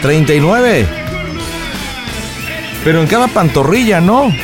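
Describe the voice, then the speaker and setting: A man's voice: on the high side, animated, measured pace, music in the background. A Mexican man hosting a prank call show, improvising comic banter in a mocking, teasing, slangy way.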